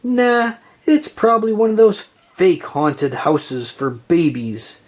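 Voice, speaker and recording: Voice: mid-range voice, sing-song, slow pace, darkish tone. A storyteller voicing a dim, unbothered male cartoon starfish in a children's storybook. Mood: unimpressed.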